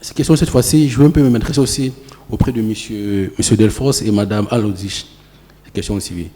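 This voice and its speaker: Audience questioner, rapid wordy delivery, dark tone, hall echo. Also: male voice, on the low side. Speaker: audience member asking a question. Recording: echoey hall.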